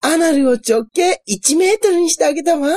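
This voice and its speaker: A woman's voice: sits high, animated, fairly quick, darkish tone. A woman playing a cheerful, helpful young witch girl in a comic radio drama, with a cutesy, exclaiming manner. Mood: confident, helpful.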